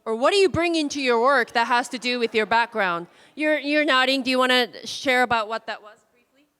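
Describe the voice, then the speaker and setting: A woman's voice: sits high, animated, brisk, bright, forceful tone, clean tone. A woman casually leading a group icebreaker in a large hall, chatty with many fillers. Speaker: workshop facilitator. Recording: hall reverb from a room mic.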